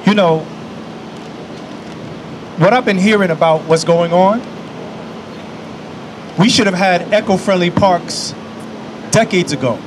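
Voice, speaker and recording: A man in his forties speaks into a podium microphone on an outdoor lawn, with the cadence of a preacher. His voice sits fairly high, and he leans on key phrases by repeating them. Steady city traffic hums loudly behind him. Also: slow, pauses often. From New York. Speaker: invited speaker. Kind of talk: speech at an outdoor public event. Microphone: podium microphone on a stand. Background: city traffic and street ambience.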